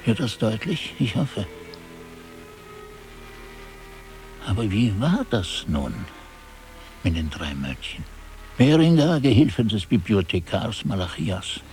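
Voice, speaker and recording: Low, animated old monk chronicler. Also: male voice, faint music behind. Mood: musing, troubled. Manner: halting self-talk, swinging from muttering to exclaiming. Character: aged monk chronicler, forgetful, haunted, musing over his manuscript. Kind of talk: dramatic radio play narration.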